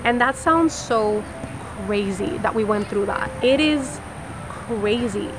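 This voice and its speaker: A woman's voice: mid-range voice, slow, noisy background. A woman from Puerto Rico telling a personal story candidly, animatedly acting out things that were said. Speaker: mother recounting a hurricane.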